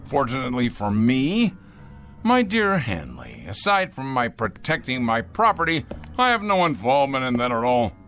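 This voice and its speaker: A cold, arrogant factory owner in a radio drama, lecturing with pompous, salesman-like polish. His pitch swings dramatically over a clear bed of music. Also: huge pitch swings, normal speed, a bit bright. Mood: smug, condescending. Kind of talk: audio drama acting.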